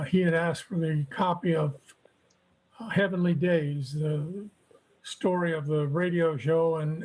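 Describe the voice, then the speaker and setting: A man's voice: on the high side, darkish tone, a little hiss or hum, poor audio. A man giving an informal, wry report at an online club meeting. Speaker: club print librarian. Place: remote video meeting.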